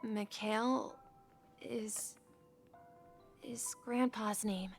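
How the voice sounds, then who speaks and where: A woman's voice: fairly high pitch, fairly even intonation, drawn-out words, gravelly, full character voice. A woman voicing a wistful, earnest young boy, a dreamer of adventure, in a video game, speaking with hesitant wonder. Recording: music under the voice.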